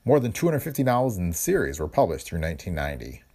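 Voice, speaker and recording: A man's voice: sits low, very animated, fast, mellow, breathy edge. A man hosting a podcast, reading scripted narration about its show. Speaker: podcast host.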